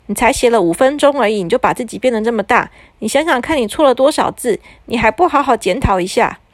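A woman's voice: a little high, lively intonation, fast talker, no room sound. A woman voicing a stern magician who lectures in a reproachful tone, with a Taiwanese Mandarin accent. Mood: scolding.

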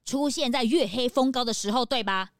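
A woman's voice: on the high side, fairly quick, dry recording, studio quality, close to an everyday voice. A woman playing a chatty, impatient young detective's assistant who butts in with exclamations, in a children's audio drama. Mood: excitable.

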